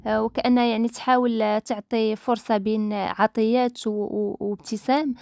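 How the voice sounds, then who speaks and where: A woman's voice: fairly high pitch, steady tone, fairly quick, creaky, a little mellow, studio quality. A woman from the Maghreb co-hosting a casual chat, often agreeing and echoing her partner. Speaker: co-host.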